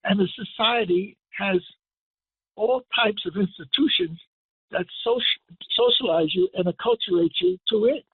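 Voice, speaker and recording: Older man, high husky voice, emphatic radio lecture, tinny phone line. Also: lively intonation, moderate pace, no echo. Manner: emphatic, rising to forceful declarations.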